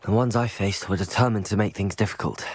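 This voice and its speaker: A man's voice: fairly low pitch, brisk, husky. A man voicing an earnest, polite young wizard student hero in a video game. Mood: calm, pleased. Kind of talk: video game dialogue and shouted spell calls. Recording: loud game music behind the voice. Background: music.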